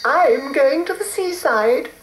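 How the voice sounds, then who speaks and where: Guy doing a high sing-song fairy lady, vain and sniffy, slow drawl, echoey hissy tape. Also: lively intonation, full character voice. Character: vain, giggly female fairy, plump and airy. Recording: roomy echo with loud hiss.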